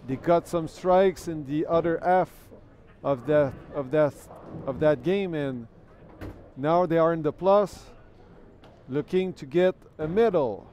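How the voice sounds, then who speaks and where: Slow-paced French-speaking man commentating. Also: on the high side, mellow, lo-fi sound. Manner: chatty, thanks viewers, repeats himself while thinking. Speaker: bowling commentator. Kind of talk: live sports commentary. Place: bowling alley.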